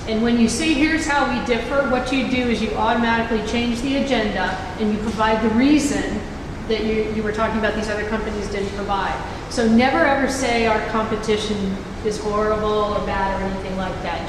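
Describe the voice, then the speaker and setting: A woman on a panel giving measured advice to a workshop audience. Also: a little high. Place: large echoey lecture room. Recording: echoey hall, noisy.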